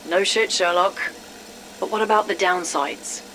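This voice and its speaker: A woman's voice: mid-range voice, steady tone, measured pace, a bit bright, a little hiss or hum, lightly acted, rough recording. A woman playing a tired, exasperated and sardonic scientist in an audio drama.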